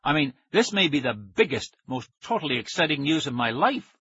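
Man playing an eager, bright-voiced young boy. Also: fairly high pitch, sing-song, moderate pace, breathy edge, dry recording, dull audio, full character voice. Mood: curious, excited. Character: earnest, eager boy hero, concerned friend. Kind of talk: children's audiobook character acting.

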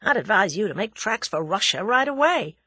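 A woman's voice: mid-range voice, very animated, brisk, pushed and bright, husky, no room sound, clean studio sound, full character voice. A woman voicing a brash, cool-headed young American man in an audiobook, with breezy, commanding, slangy delivery. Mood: breezy, wry.

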